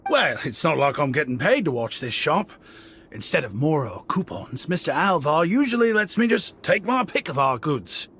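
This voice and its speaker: A breezy, friendly shopkeeper. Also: male voice, fairly high pitch, very animated, normal speed, pushed and bright, a little hiss or hum, full character voice. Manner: breezy, generous, salesy. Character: friendly easygoing young male shopkeeper. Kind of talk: video game voice acting.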